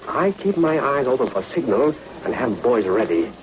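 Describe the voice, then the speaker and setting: A man's voice: medium pitch, very animated, normal speed, highs cut off, lightly acted. A man playing a courteous, watchful restaurant owner and loyal ally in a 1940s radio adventure. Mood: polite, watchful. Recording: noisy, band-limited old radio recording.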